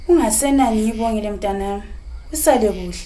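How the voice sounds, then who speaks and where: Worried Zimbabwean mother, acted by a woman, very lively pitch. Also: slight background noise. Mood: polite, anxious. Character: worried, polite wife and mother, wronged, burdened. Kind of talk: radio drama acting in Ndebele.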